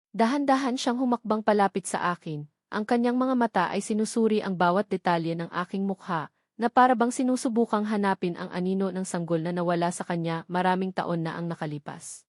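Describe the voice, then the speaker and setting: A woman's voice: medium pitch, a little mellow, no echo. Woman narrating a dramatic story, dry sound.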